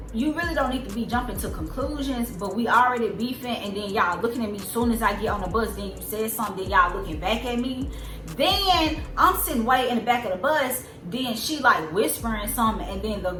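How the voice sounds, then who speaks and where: A woman in her twenties with a soft, squeaky voice, telling a story in a casual, rambling way with lots of fillers. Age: 20s. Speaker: vlogger. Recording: roomy echo with loud music behind. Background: music.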